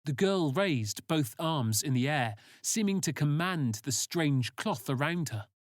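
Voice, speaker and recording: A man's voice: a little high, lively intonation, measured pace, no echo, studio quality. A man reading scripted sci-fi story narration.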